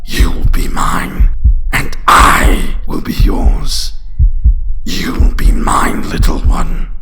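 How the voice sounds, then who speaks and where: A man voicing an obsessive, seductive dragon lover in an intimate, breathy whispered ASMR roleplay, close to the mic. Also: pushed and bright, slight background noise. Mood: possessive, seductive. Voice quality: breathy whisper with gravelly growl. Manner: slow, intense, intimate.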